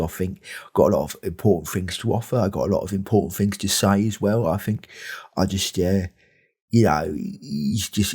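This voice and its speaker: A man playing a vague, earnest podcast panelist who talks in a halting, repetitive way. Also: low-pitched, darkish tone, slightly rough.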